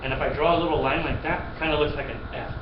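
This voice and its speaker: A man's voice: fairly high pitch, fairly even intonation, rapid-fire, noisy background. A man teaching a child piano, patient and encouraging, repeating points and praising often. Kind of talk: one-on-one children's music lesson. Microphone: webcam from a distance. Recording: echoey room, distant dull webcam mic, music.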